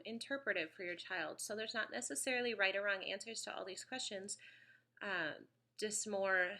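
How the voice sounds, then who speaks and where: A woman's voice: medium pitch, bright, forceful tone. A woman in her thirties with a slight cold, casually reviewing a homeschool study guide, chatty with frequent ums. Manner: chatty, frequent ums, pages through a book. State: slight cold, sniffly, occasional cough.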